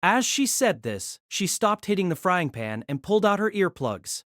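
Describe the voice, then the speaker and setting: A man narrating a novel in an audio drama, steady storytelling with lively inflection. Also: high voice, moderate pace, dry recording, clean studio sound.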